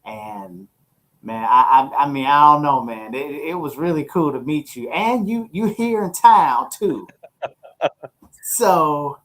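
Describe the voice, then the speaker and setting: Podcast host, male, middle-aged American, fairly high voice, loose conversational rambling with slangy asides. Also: lively intonation, takes it slowly, a bit bright. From St. Louis, USA. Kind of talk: casual chat.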